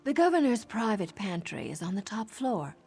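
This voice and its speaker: A woman's voice: mid-range voice, big pitch swings, normal speed, darkish tone, slightly rough. A woman playing a confident female assassin informant in a video game, with a sardonic, conspiratorial manner. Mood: conspiratorial, instructive. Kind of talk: video game character dialogue.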